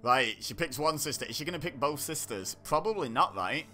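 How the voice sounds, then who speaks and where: A man's voice: mid-range voice, expressive, brisk, pushed and bright, soft background music. A man from the UK chatting and joking as he reacts to a TV show, laughing often and cutting himself off mid-sentence. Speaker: online reaction host. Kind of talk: casual reaction commentary.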